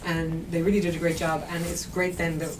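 A woman's voice: on the low side, level tone, brisk. An Irish woman musician telling stories in an interview with enthusiasm and detail, over a video call. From Ireland. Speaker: musician guest. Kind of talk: interview conversation. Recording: distant mic with background noise.

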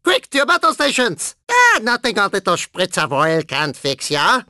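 A man voicing a deranged, gleefully violent and whiny German cyborg racer in a video game, with an exaggerated German accent and an excitable, theatrical delivery. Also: high voice, normal speed, no echo, clean studio sound, full character voice. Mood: gleefully aggressive. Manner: theatrical, excitable, tacking questioning tags onto remarks. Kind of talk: cartoon video game character clips.

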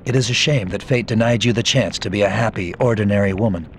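A man's voice: fairly low pitch, background noise, lightly acted. Man playing a stern, solemn ninja avenger. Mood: calm, formal. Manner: formal, solemn, measured. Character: stern, honorable male ninja avenger, calm and grim. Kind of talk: video game cutscene acting.

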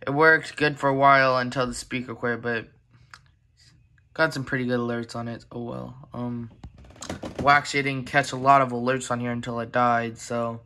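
A person talking informally and haltingly in a casual show-and-tell vlog, filmed on a handheld phone indoors at home. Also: medium pitch, level tone, sharp tone. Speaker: collector presenting weather radios. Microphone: handheld phone camera. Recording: noisy handheld recording with clicks.

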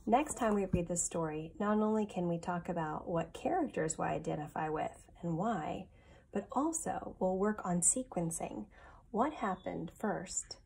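A woman in her thirties, a teacher giving an expressive, deliberate read-aloud of a children's picture book. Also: lowish voice, creaky, slightly rough.

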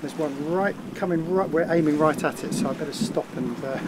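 A man's voice: fairly high pitch, a little fast. A middle-aged man vlogging casually from the deck of a sailboat, rambling with fillers, filming himself with a handheld phone. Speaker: sailing vlogger. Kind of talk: casual vlog narration. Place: deck of a sailboat at sea, outdoors. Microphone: phone held at arm's length. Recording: wind and water noise outdoors. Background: wind, waves and boat noise.

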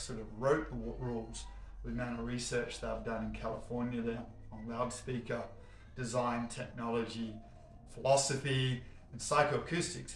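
A man's voice: mid-range voice, a bit bright. A man giving a casual, enthusiastic and explanatory product commentary in an echoey indoor space. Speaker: home cinema showroom presenter. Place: showroom warehouse, indoors. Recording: echoey, reverberant indoor room.

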